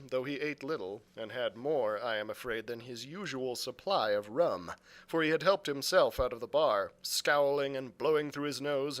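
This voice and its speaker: A man's voice: medium pitch, a bit bright. A man reading a classic adventure story aloud as a youth librarian, in narrated storybook style.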